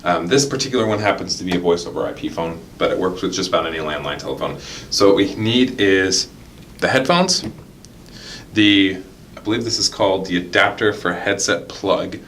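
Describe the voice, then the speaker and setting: Male tutorial presenter, low register, casual step-by-step instructional delivery, faint rumbling noise behind the voice. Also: brisk, fairly bright tone. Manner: step-by-step explaining. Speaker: product demonstrator.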